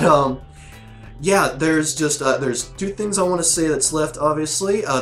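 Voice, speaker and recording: A man with a scratchy, strained voice, worn by a sore throat, talks casually to his viewers. His pitch sits in a middle range, and he rambles with frequent ums and restarts. Faint music plays softly behind him. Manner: loose and chatty, many fillers and restarts. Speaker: host reviewing episodes. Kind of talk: casual rambling commentary to camera.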